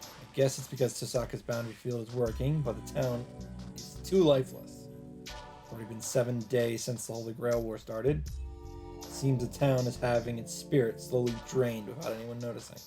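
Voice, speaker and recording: A man narrating a visual novel aloud as a let's player, in a measured, casual read-aloud delivery. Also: mid-range voice, flat delivery, over music.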